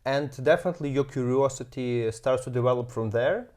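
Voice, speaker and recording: A man in his thirties from Ukraine with a Ukrainian accent, speaking as an interview guest and often pausing with fillers while he searches for words. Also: medium pitch, darkish tone. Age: 30s. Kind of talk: casual interview.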